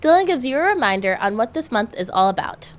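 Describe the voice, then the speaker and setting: Woman, student news host, scripted, upbeat, fairly high pitch. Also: sing-song, fast talker.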